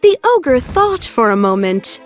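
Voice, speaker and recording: A woman's voice: sits high, sing-song, unhurried, soft background music. A woman narrating a scripted children's story as the storyteller.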